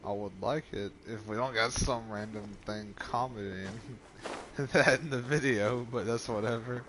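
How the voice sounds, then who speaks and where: A man's voice: on the low side, unhurried, background noise. A man doing casual let's play commentary on a video game, informal and muttering to himself. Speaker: gaming video host. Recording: game music behind.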